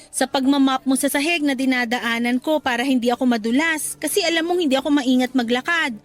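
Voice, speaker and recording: A woman's voice: high voice, lightly acted. A woman playing a sweet, teasing young worker being courted in a Tagalog radio romance, with a coy, coaxing way of talking.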